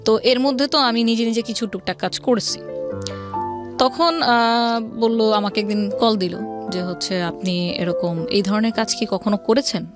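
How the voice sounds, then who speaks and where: Female interview guest, Bangladeshi accent, fairly high voice, personal storytelling with English mixed in, clear music bed. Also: unhurried. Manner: mixes English words into speech. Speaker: interview guest, event planner. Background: background music.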